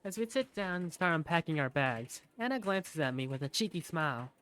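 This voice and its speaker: A man, an amateur voice actor, narrating a visual novel fandub in the first person in an animated way. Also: fairly high pitch, brisk, clean tone.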